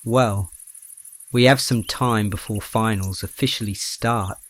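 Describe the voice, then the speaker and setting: A man acting a gently teasing, bookish young roommate in an audio drama, with measured, slightly formal phrasing. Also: lowish voice, steady tone, slight background noise. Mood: calm, inviting.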